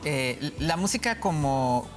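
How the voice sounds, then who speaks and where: A man's voice: on the high side, lively intonation, measured pace, faint music behind. A man with a slightly Mexican-tinged Spanish accent, answering interview questions earnestly, with frequent restarts and fillers. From family from Guatemala.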